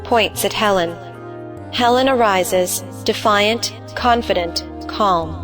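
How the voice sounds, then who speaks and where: Woman narrator, mid-pitched, music behind. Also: slow. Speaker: narrator reading stage directions. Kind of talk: scripted narration of an audio play.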